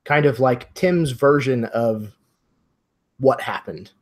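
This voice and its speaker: A man acting as game master, improvising narration and giving players instructions in measured short phrases with pauses. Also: mid-range voice.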